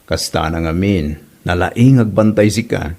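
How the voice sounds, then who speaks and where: A man's voice: low voice, measured pace, gravelly, a little mellow. A man playing a villager passing on neighborhood news in a comedy drama, with an Ilocano Filipino accent. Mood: chatty, complaining. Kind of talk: amateur comedy radio-style drama.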